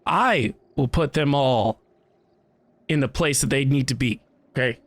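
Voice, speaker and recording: A man's voice: mid-range voice, a little slow, a bit bright, no echo. A man giving casual, emphatic reaction commentary as a game streamer, speaking informally. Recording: faint game music behind.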